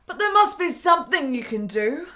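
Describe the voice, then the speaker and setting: A woman's voice: sits high, sing-song, normal speed, sharp tone, heavily acted. An actress playing a frail, ailing woman in her twenties in a period radio drama, earnest and frank with formal, precise phrasing. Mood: urging.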